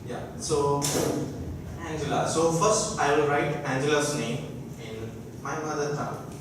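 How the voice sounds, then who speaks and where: A young man with an Indian accent, a native Odia speaker, gives a friendly, informal talk to a class, often stopping to ask the students questions. His voice sits a little high. The sound comes from far off in a very echoey classroom, with clear noise behind it. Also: unhurried. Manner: chatty, coaxes the class with questions. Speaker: guest lecturer.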